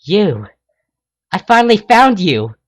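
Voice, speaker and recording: A man reading a story aloud and voicing a brash, tomboyish young pegasus mare who yells when angry. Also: a little high, big pitch swings, no room sound, studio quality, voice pushed up. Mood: furious. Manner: loud, indignant, quick to yell.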